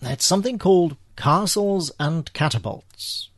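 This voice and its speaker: An actor playing an unflappable, dryly witty male spy in a radio theatre drama, with deadpan, punning delivery. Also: on the high side, measured pace, no room sound, studio quality. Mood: dry, playful. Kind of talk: scripted radio theatre acting.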